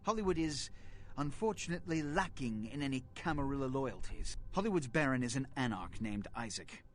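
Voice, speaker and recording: A pompous vampire prince played by a male actor holds forth as in a dramatic video game. His delivery is grandiloquent and very animated in pitch, full of self-importance and indignation. Music plays behind him. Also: fairly quick, natural voice. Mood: stern, commanding. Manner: grandiloquent, formal, melodramatic.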